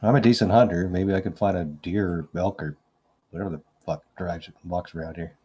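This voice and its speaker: A man's voice: deep voice, fairly even intonation, brisk, warm tone, slightly rough. A man playing a tabletop game, talking in a casual, loose way that drops the odd swear. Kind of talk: in-character roleplay chat.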